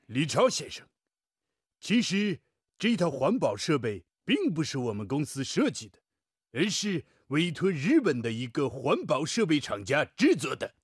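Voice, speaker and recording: Hoarse-toned, deferential Japanese expert man. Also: fairly high pitch, sing-song, unhurried, no echo, clean studio sound, heavily acted. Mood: polite, explanatory. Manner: polite, explanatory, deferential. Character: deferential Japanese male expert, junior colleague. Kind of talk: radio drama acting.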